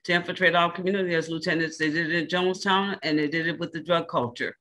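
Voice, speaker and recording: A woman panelist on a video call, telling things in a halting, conversational, anecdotal way. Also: lowish voice, fairly even intonation, pushed and bright.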